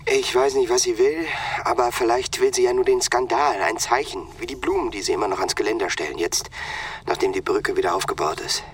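An actor playing a worried male friend and former military pilot, speaking quietly and earnestly in a radio play. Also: medium pitch, a little fast, slight background noise, close to an everyday voice. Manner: quiet, earnest, partly whispered.

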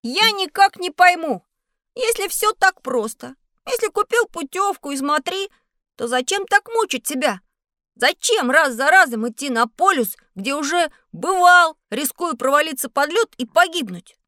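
A high, bright boy's voice with lively swings in pitch. He is a cheeky, eager schoolboy in an educational audio drama, always asking and expressive. The sound is close and dry. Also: measured pace, no echo, exaggerated voice. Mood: curious. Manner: inquisitive, expressive questioning. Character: curious busy schoolboy Petya, cheeky and eager. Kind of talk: scripted children's educational audio play.

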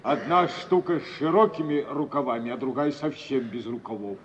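A man's voice: medium pitch, normal speed, a crowd in the background, natural voice. An actor playing a bemused man in a 1950s Russian radio theatre production. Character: bemused man chatting about ladies' dresses. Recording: distant, dull, reverberant vintage radio recording.

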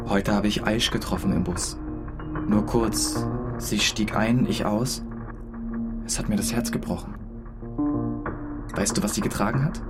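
An actor playing a heartbroken teenage ex-boyfriend in a radio play, awkward and pleading, in a naturalistic style. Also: male voice, fairly low pitch, a little slow, long pauses, loud music behind the voice, lightly acted. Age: young adult.

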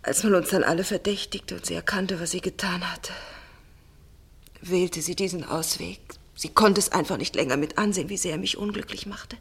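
An actress playing a shaken, grieving daughter in a German radio drama, half-whispering in a breathy voice. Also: female voice, fairly low pitch, steady tone, normal speed. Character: grief-stricken daughter, subdued and shaken.

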